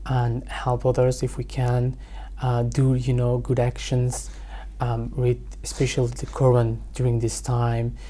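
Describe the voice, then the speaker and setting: A young man from France answering interview questions hesitantly, with many pauses and self-corrections. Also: mid-range voice, warm tone, slight background noise. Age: young adult. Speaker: guest.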